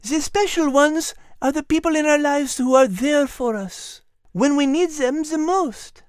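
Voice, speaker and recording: A woman voicing a gentle, wise, refined female animal in a children's audio drama, speaking in an articulate, teacherly way. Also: sits high, unhurried, no echo, clean studio sound. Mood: gentle, reassuring.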